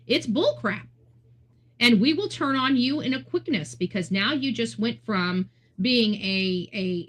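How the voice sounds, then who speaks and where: An American woman giving unscripted, opinionated commentary in a blunt, emphatic, lecturing way. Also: medium pitch. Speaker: online true-crime commentator.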